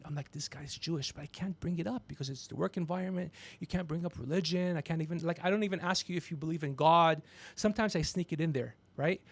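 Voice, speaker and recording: A man in his fifties hosting a casual comedy livestream, rambling, teasing and swearing as he talks. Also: sits high. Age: 50s. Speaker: podcast host.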